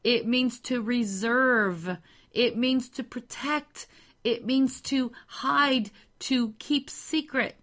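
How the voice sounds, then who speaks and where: A woman giving a slow, measured religious teaching, carefully spelling out the meanings of words. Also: fairly high pitch. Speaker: Bible teacher.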